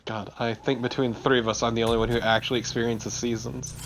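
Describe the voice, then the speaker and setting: Man, medium pitch, casual joking co-commentary, faint background noise. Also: flat delivery, fast talker, a bit bright. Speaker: let's play co-commentator.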